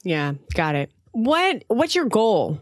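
A woman's voice: medium pitch, sing-song, slow, dry recording. A woman hosting a podcast coaching session, asking direct questions in an upbeat way. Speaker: podcast host and business coach. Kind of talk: live coaching interview.

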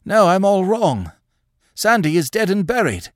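A sharp-voiced wild old gentleman. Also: high-pitched, measured pace, no room sound, clean studio sound, pitched above the performer's own voice. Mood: wild, excited. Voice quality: sharp, unnatural voice. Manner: wild, excitable outbursts. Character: agitated, rambling old gentleman.

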